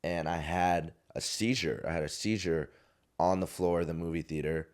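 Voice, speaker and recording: A young man in his twenties with a very deep, low voice talks on a podcast. He tells a personal story in a rambling, casual way, circling back and restarting his sentences. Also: steady tone, moderate pace. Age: 20s. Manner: rambling, many restarts and fillers. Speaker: podcast guest. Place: podcast studio.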